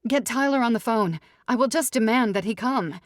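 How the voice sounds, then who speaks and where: Woman acting a frantic jilted young bride, pitched high. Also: normal speed, no room sound, clean studio sound, close to an everyday voice. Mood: demanding. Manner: breathless, pleading, rambling. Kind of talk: audiobook character dialogue.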